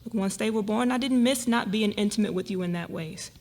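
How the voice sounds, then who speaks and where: A woman's voice: mid-range voice, brisk, darkish tone, close to an everyday voice. A woman playing a shocked, distraught wife and mother in a dramatic reading, exclaiming and pleading in disbelief. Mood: defensive.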